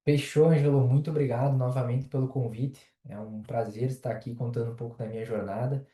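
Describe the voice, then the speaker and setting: Very dark-voiced Brazilian man, distant and echoey. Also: medium pitch, steady tone, a little fast. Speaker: interview guest. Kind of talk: conversational advice in an interview. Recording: roomy echo, distant from mic.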